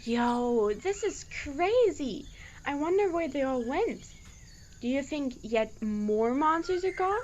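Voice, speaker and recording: Woman voicing young monster kid, boyish, very high, earnest, slangy, audio drama, light background noise. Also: animated, slow, a little mellow. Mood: casual, puzzled. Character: armless young boy monster, eager, loyal.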